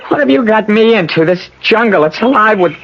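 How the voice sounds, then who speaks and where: A man's voice: sits high, expressive, brisk. An actor playing an anxious, complaining man in a radio mystery drama, stammering and groaning as he speaks. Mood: querulous, uneasy. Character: anxious, complaining man in agony, trapped in eerie garden. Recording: muffled, noisy old radio broadcast.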